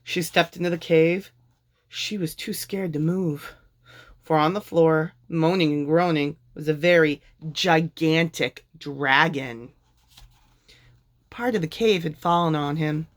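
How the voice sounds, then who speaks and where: A woman reading a children's picture book aloud in a deliberate, expressive storytelling way. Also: low voice.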